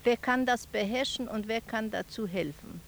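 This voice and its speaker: A woman's voice: on the high side, normal speed, vocal fry, background noise. A woman making reflective remarks.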